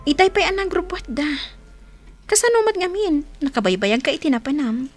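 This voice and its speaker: A sweet young romantic heroine, coy about being courted. Her voice is very high and swings freely up and down, in radio drama style. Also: animated, a little fast, faint music behind. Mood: polite, shy.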